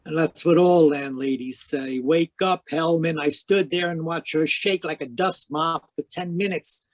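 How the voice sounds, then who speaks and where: A man playing a hard-boiled, wisecracking private investigator in a 1940s-style radio noir drama, deadpan, clipped and sardonic. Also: on the high side, a little slow, dry recording, close to an everyday voice. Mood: sardonic, defiant. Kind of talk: 1940s radio noir acting with first-person narration. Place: live remote video-call performance.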